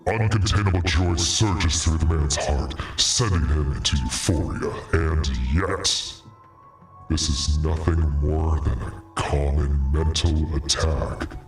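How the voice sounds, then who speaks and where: A man puts on a gloating demon from a video game, theatrical and self-important. The voice is deep and unhurried. It sounds echoey, as if set back from the microphone. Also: steady tone, full character voice. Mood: scornful. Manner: theatrical, pompous. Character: gloating male demon villain who manipulates minds. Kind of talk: dramatic reading of video game dialogue. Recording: echoey, distant, music behind.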